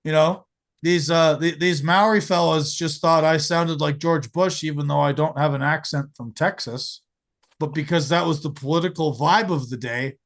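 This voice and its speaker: Gravelly-voiced American man telling stories. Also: on the high side, moderate pace. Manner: animated, swears freely, repeats words while telling stories. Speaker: podcast host. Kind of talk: casual storytelling chat.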